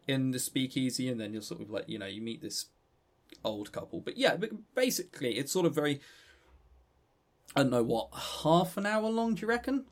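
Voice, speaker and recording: A man with an English accent co-hosting a podcast, reviewing casually in a rambling way and often stammering over repeated words. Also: mid-range voice. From England. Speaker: podcast co-host.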